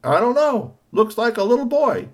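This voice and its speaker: A man's voice: medium pitch, wildly swooping pitch, measured pace, pitched above the performer's own voice. A man voicing a curious male police officer who thinks aloud with hums. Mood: alarmed.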